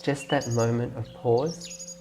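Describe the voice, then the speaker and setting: A man leading a guided meditation in a gentle, instructing way, leaving long pauses between phrases. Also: mid-range voice, fairly even intonation, background noise. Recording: clear birdsong and nature ambience behind. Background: birdsong, nature ambience.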